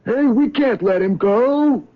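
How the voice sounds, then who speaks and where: An actor playing an eager henchman who answers to his boss, in a 1970s radio drama, speaking quickly with a raised voice. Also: very high voice, lively intonation, exaggerated voice. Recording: dull old radio broadcast.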